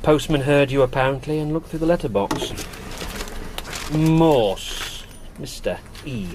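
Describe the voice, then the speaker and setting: An actor playing a frank hospital doctor in a radio drama, dryly understated with hesitant pauses. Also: male voice, a little high, lively intonation, noisy background. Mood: dry, probing.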